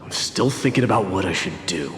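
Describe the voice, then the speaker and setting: An actor playing a proud, gruff young warrior prince in a video game, speaking curtly and formally with scorn. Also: male voice, deep voice, animated, fairly quick, slight background noise. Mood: guarded, dismissive. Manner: curt, haughty, formal. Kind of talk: video game dramatic acting. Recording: echoey reverb, music behind.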